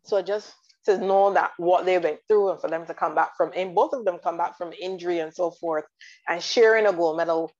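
A woman from Barbados chatting about sports in detail, enthusiastic and quick to laugh. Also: fairly low pitch, fairly even intonation, a little mellow. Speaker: guest commentator. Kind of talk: casual sports chat. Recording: dull lo-fi audio.

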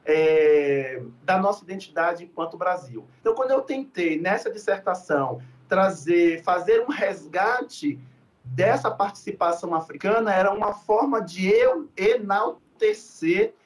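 A man's voice: high voice, expressive, measured pace. A man from Salvador, a podcast guest talking in an earnest, reflective way with many fillers. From Salvador, Bahia, Brazil. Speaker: guest, food researcher. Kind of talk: podcast interview.

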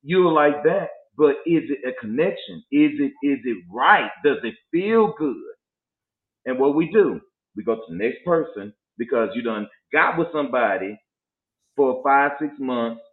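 A man's voice: mid-range voice, expressive, a little slow. A man in his forties talking casually about dating, emphatic and preachy. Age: 40s. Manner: preachy, emphatic, slips into mock voices to make a point. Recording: echoey, tinny phone-like sound.

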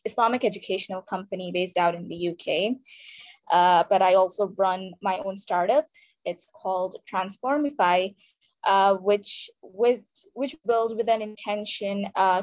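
A woman from India who grew up in Saudi Arabia talks as a podcast guest, at a medium pitch. She gives a personal account conversationally, with frequent filled pauses. The sound is dry and a little dull. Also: no room sound. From India, raised in Saudi Arabia. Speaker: podcast guest, startup founder.

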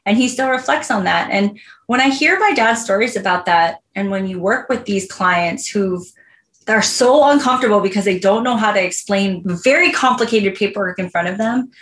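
A woman's voice: mid-range voice, moderate pace, fairly bright tone. An American woman giving an earnest, heartfelt interview answer, telling stories conversationally with plenty of "like" and "you know". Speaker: interview guest, home loan professional. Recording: roomy echo on the voice.

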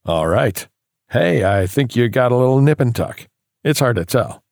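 Creaky, brash executive. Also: male voice, medium pitch, lively intonation, normal speed, darkish tone, no room sound, studio quality. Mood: brash, teasing. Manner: brash, teasing. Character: brash, cheeky male executive. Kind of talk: campy B-movie audio drama acting.

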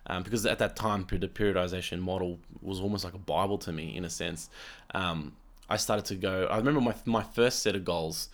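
A man co-hosting a casual podcast, talking earnestly and repeating words while he works toward his point. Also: fairly quick. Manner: acts out quoted voices in a higher pitch. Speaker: podcast co-host. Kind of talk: casual chat.

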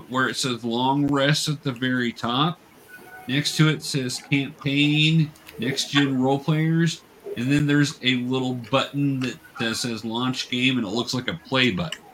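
A man's voice: medium pitch, fairly bright tone. Man hosting a tabletop game, noisy background. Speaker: game master and host.

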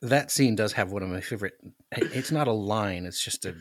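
A man's voice: lowish voice, fairly quick, no room sound, clean studio sound. A man guesting on a podcast, discussing books casually in a wry, emphatic way. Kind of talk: casual book discussion chat.

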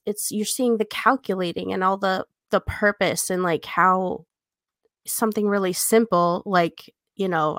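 A woman's voice: on the low side, fairly bright tone, no echo, studio quality. A woman co-hosting a podcast in casual chat, thinking aloud in an informal, tentative way. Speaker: podcast co-host.

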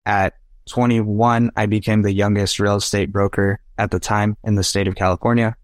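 A man's voice: on the low side, flat delivery, normal speed, no room sound, studio quality. A young adult man whose first language is Spanish, earnestly telling personal anecdotes as a podcast guest and often checking in with "right?". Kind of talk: casual interview storytelling.

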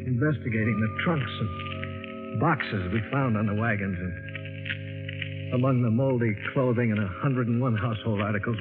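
An actor playing an earnest explorer who recounts an adventure in a 1950s radio drama. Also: male voice, fairly low pitch, close to an everyday voice. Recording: old tinny radio recording, loud music.

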